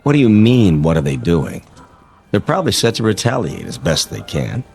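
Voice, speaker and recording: A man's voice: low-pitched, sing-song, normal speed, creaky, darkish tone, husky, heavily acted. An actor in a radio drama playing a smug, hawkish man who gloats and needles with menace. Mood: smug, gleeful.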